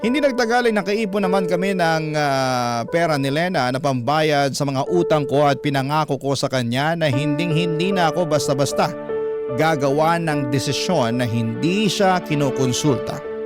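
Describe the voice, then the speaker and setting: A man with a Filipino accent reading a letter as dramatic scripted radio narration. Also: a little high. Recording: music bed under the voice.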